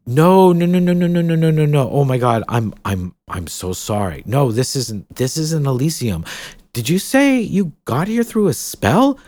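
A man voicing a gushing, welcoming woman who leads a hidden paradise, with a lively, sing-song delivery. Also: a bass voice, unhurried, pitched above the performer's own voice, full character voice. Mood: flustered. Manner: effusive, gushing.

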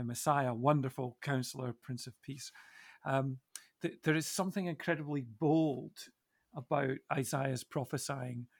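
A man co-hosting a reflective, conversational Bible discussion, musing in a halting but emphatic way. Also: mid-range voice, vocal fry. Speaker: podcast co-host.

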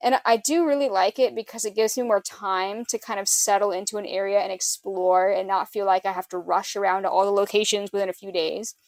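A woman's voice: medium pitch, fairly even intonation, a little fast, few pauses, dry recording. A woman hosting a podcast in casual chat with a friend, with a slightly nasal voice and informal speech peppered with "like" and swearing. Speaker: podcast host.

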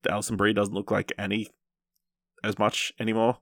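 A man's voice: fairly low pitch, level tone, fairly quick, no echo. A man co-hosting a podcast, chatting casually through film and TV news. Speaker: podcast co-host.

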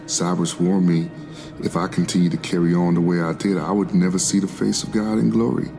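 A man's voice: deep voice, flat delivery, fairly quick, creaky, over music. A man playing a devout, formerly enslaved preacher of the 1700s, telling his spiritual struggle in solemn, reflective first-person narration. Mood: troubled, solemn. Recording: loud musical backing.

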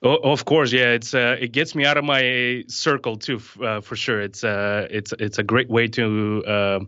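Greek-born man, 30s, podcast guest; bright tone, easygoing, rambling delivery, dry dull-ish recording. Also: mid-range voice, normal speed, clear, resonant tone, dry recording. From Greece. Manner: relaxed, many fillers and restarts. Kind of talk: casual podcast conversation.

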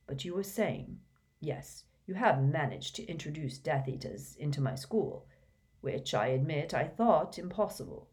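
A woman voicing a gentle, calm old male wizard headmaster who speaks softly and mildly. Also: low-pitched. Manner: soft, mild, unhurried.

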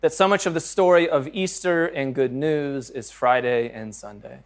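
A man's voice: mid-range voice, moderate pace. A man preaching a sermon as pastor, earnest and conversational, reading scripture aloud and joking about his own slips.

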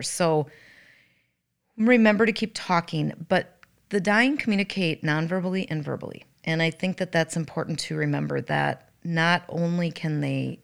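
Her voice sits low, with a slight rasp at the edges. She is a middle-aged woman in podcast conversation, speaking in an earnest, reflective way. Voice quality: slightly raspy. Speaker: podcast co-host, hospice worker.

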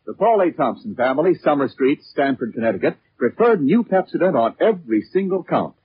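A male American announcer from 1940s radio with a highish, slightly rough voice. He sells emphatically and with polish, his pitch swinging freely, over a tinny old broadcast sound. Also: very animated, fairly quick. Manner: emphatic, polished sales delivery. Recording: tinny narrow vintage radio recording.

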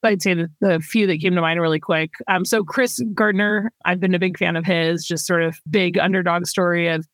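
A woman founder being interviewed, talking in a relaxed, rambling way with lots of "you know" and "like". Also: fairly low pitch, normal speed, few pauses, no echo, studio quality. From grew up in Thailand, then the US. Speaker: company founder, guest. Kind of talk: casual interview chat.

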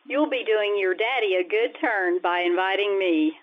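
A woman playing a wisecracking high school English teacher in an old-time radio comedy, with a dry, sardonic delivery. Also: normal speed, lightly acted. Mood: wry, scheming. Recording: noisy, thin telephone-like radio recording.

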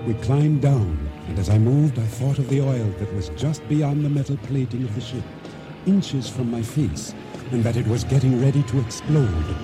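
A man's voice: medium pitch, expressive, lightly acted. Actor as solemn island boatman, music underneath. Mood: grave, measured. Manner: measured, formal, deliberate storytelling. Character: solemn island boatman recounting his ordeal at sea. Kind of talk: dramatic first-person narration for radio theater. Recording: radio drama with music underscore.

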